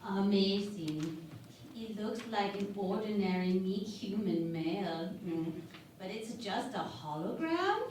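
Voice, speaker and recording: A woman plays a starship's chief medical officer with a cruel streak, for a parody radio play. She talks slowly and with cool contempt. The sound is very echoey and off-mic. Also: medium pitch, expressive, darkish tone. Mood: fascinated. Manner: savouring, coolly contemptuous. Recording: very echoey, distant mic, faint noise.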